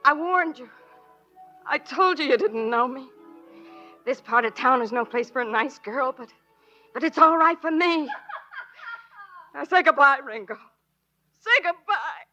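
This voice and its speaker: A woman's voice: high voice, expressive, measured pace, pauses often, sharp tone, dull audio, soft background music, exaggerated voice. An actress playing a devoted, emotional saloon girl in a 1940s radio western melodrama. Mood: sorrowful, tender. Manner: intense, emotional, dramatic. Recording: noisy 1940s radio recording.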